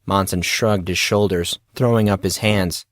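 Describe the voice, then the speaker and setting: A man's voice: deep voice, fairly even intonation, measured pace, dry recording, clean studio sound. A man narrating a scripted story as the narrator of an audio drama.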